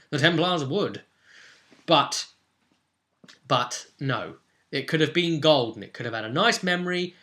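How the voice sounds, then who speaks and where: A man's voice: mid-range voice, sharp tone. A man hosting a vlog as a game master, recapping a tabletop roleplay session in a rambling, blunt, conversational way. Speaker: game master and video host.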